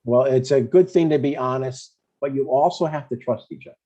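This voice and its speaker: A man's voice: medium pitch, brisk, dark tone, natural voice. A man playing an older widowed father and retired deacon, earnest, blunt and a little hesitant, in a scripted comedy table read. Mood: mild, reflective. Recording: dull, lo-fi sound.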